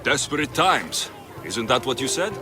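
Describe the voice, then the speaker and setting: An actor playing a young Greek mercenary hero in a video game, terse, serious and determined. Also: male voice, medium pitch, sing-song, normal speed, lightly acted. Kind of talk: video game dialogue acting.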